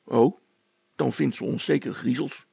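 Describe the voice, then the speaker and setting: A man voicing a down-to-earth, unimpressed fellow in a story, sober and deadpan in colloquial words. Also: mid-range voice, measured pace, creaky, breathy edge, no echo, pitched above the performer's own voice, heavily acted.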